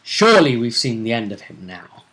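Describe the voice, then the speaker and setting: Casting: male game master, sharp bright tone, average pitch, casually narrating tabletop events in fragments. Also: big pitch swings, brisk, poor audio. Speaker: game master recapping the session. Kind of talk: casual tabletop role-play recap.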